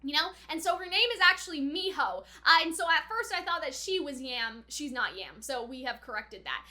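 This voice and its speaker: A woman with a high, bright voice hosts a casual reaction video. She talks informally, working through her thoughts out loud as she comments. The delivery is chatty and conversational throughout. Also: animated, moderate pace. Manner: chatty, informal, thinking aloud. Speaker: anime reaction video host.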